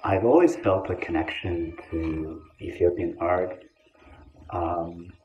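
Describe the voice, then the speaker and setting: A man in his fifties, raised in the United States, speaking thoughtfully with pauses at home. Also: sits low, mellow, dull audio, slight background noise. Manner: thoughtful, pausing. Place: home living room, indoors.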